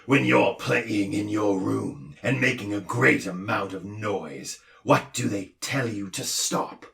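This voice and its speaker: A man voicing a pompous male doctor who lectures loudly and roars, in a storybook reading. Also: on the low side, slow pace, vocal fry, roomy sound, far from the mic, full character voice. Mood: lecturing. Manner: loud, roaring, lecturing. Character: booming gruff male noise doctor, pompous.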